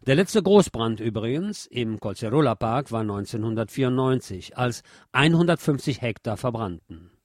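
A man's voice: mid-range voice, animated, normal speed, a little mellow, no room sound, clean studio sound. A man presenting scripted news commentary as a radio-style narrator.

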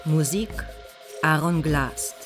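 Slow man announcing over music. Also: a little high. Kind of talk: scripted radio credits announcement.

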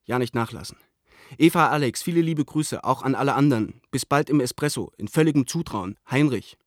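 A man's voice: mid-range voice, a little fast, no echo, studio quality, lightly acted. An actor in a radio play voicing an earnest, idealistic man who reads his rousing letter with emphatic declamation.

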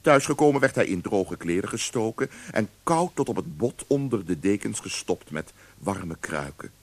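Male narrator, very low pitch, gravelly edge, measured dramatic storytelling, radio play. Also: animated.

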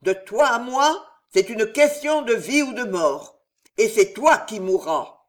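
A reader voicing an imperious, vengeful queen in an audiobook, speaking higher and louder in anger. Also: very animated, measured pace. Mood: furious. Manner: raises pitch and volume, snapping and roaring in anger. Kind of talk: audiobook character reading.

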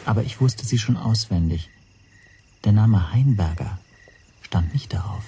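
An actor playing an observant, nervous hotel guest who narrates his own story in a radio drama, measured and precise. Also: on the low side, animated, vocal fry, noisy background. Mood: uneasy. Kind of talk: scripted first-person radio drama narration.

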